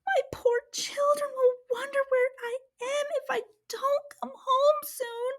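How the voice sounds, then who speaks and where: A woman voicing a tiny, timid mother mouse in a children's storybook, with a squeaky, whimpering, earnest voice. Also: fairly even intonation, a little slow. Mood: frightened pleading. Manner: pleading, earnest. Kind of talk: children's storybook read-aloud.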